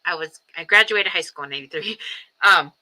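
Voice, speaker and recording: Bright-voiced woman in her forties, rambling earnestly. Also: fairly low pitch, brisk, rough recording. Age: 40s. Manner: rambling, many ums and restarts, earnest. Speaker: livestream host. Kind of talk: casual reflective livestream talk.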